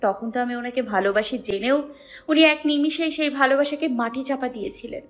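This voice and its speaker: Woman acting a plaintive young woman, high-voiced. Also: quick pace, natural voice. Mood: wounded, bitter. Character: hurt, resentful young woman nursing an old rejected love. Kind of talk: emotional audio-story monologue.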